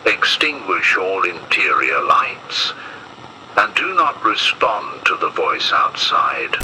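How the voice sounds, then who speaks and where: A man playing a grave emergency broadcast announcer in an analog horror piece, solemn and measured, with an ominous delivery. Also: low voice, pushed and bright, slight background noise, heavily acted, low-quality recording.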